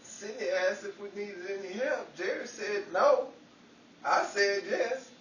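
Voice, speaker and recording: A man's voice: high-pitched, unhurried. A man playing a flighty, dim, cheerful singer in an old-time radio comedy. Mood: breezy, flustered. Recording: dull, echoey, distant mic, loud noise.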